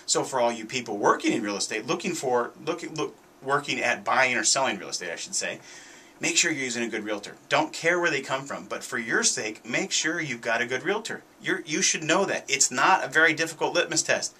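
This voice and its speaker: A man's voice: medium pitch, animated, brisk, bright, forceful tone, slightly rough. A man hosting a talk radio show, giving commentary in an emphatic, conversational way and often repeating his points. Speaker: radio show host, realtor.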